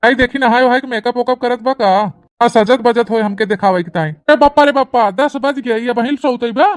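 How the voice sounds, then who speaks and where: A woman acts out a henpecked young cartoon husband in a high voice. He grumbles with whiny exasperation in an Awadhi accent. The sound is close and dry. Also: a little fast, no echo, close to an everyday voice. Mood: indignant. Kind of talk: exaggerated cartoon comedy dialogue.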